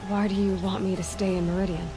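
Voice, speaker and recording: An actress playing a reserved, independent young huntress in a game cutscene, speaking directly but a little tentatively. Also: female voice, medium pitch, flat delivery, fast talker, natural voice. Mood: probing. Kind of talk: dramatic video game cutscene acting. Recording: music behind the voice.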